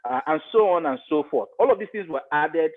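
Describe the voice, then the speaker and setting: A man's voice: fairly high pitch, animated, fairly quick, narrow, tinny audio. A middle-aged man with a Nigerian accent, explaining his view as an expert guest in a podcast interview and repeating points for emphasis. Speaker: guest, broadcast media expert.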